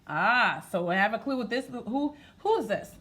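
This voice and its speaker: A woman reading aloud to children in an expressive, sing-song way, talking to them directly as she goes. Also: on the high side, normal speed. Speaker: children's story-time reader. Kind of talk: interactive read-aloud to children, part sung.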